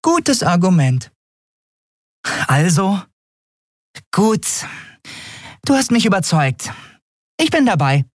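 A man voicing a small winged female companion in a fantasy audiobook, sceptical, pointed and teasing, quick to take offence. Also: high-pitched, very animated, no room sound, clean studio sound, pitched above the performer's own voice, exaggerated voice.

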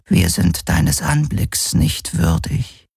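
Male narrator as aged alien woman elder, very gravelly, humble, awed reverence, slow, audiobook fantasy character. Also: deep voice, expressive, a little mellow, dry recording, studio quality, full character voice. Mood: awed, apologetic. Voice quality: creaky, raspy old voice.

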